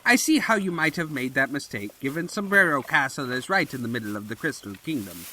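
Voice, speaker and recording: A man's voice: mid-range voice, animated, fairly quick, bright, forceful tone, poor audio. A man voicing a nervous, deferential pony henchman in a cartoon audio drama, polite and hesitant. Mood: awkward stalling.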